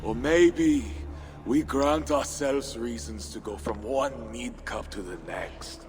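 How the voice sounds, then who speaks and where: An actor playing a bitter, brooding Viking war leader in a video game, speaking gravely and deliberately. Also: male voice, medium pitch, a little hiss or hum, lightly acted. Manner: grave, deliberate, questioning oratory. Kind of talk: dramatic video game cutscene acting. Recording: music under the voice.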